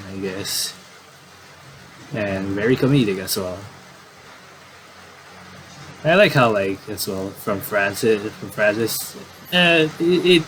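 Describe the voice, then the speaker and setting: A man doing casual game commentary and reading aloud, in a halting, rambling way with repeated words. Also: fairly low pitch, fairly bright tone, a little hiss or hum, low-quality recording.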